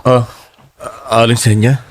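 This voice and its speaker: A man's voice: mid-range voice, flat delivery, slow pace, close to an everyday voice. A man playing a worried, earnest neighbor in a horror audio drama, hesitant and respectful as he questions. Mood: uneasy.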